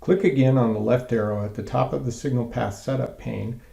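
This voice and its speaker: A man narrating a scripted software tutorial in a measured, methodical, step-by-step way. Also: medium pitch, vocal fry, reverberant.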